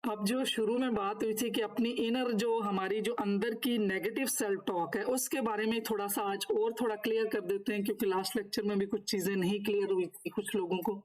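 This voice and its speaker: A woman's voice: mid-range voice, steady tone, a little fast, few pauses, darkish tone, poor audio. A woman instructor giving a course lecture, partly reading from notes and partly explaining, in formal, instructive wording.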